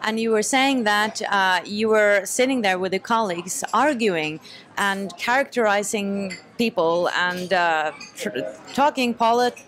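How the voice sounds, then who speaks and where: A woman's voice: mid-range voice, measured pace, a bit bright. A woman hosting an interview from a cafe, welcoming her guest and asking leading questions. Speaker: interview host. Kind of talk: hosted interview.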